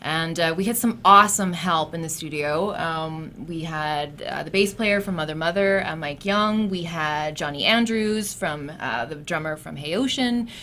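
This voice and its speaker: A woman musician chatting casually about her band in a radio interview, relaxed and full of fillers. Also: lowish voice, a little hiss or hum. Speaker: musician guest.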